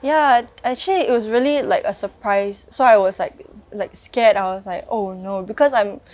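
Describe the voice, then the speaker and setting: A woman's voice: mid-range voice, moderate pace, darkish tone. A Singaporean woman in her early twenties telling her story in a chatty way, full of "like" fillers. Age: 20s. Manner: chatty, frequent "like" and "lah" fillers. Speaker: young mother sharing her story. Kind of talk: personal testimony.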